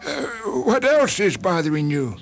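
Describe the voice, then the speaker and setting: Male actor as hospital doctor, polite, hesitant, slightly high voice, faint music behind. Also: very animated, vocal fry, full character voice. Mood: uneasy. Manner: halting, mild, full of hesitations.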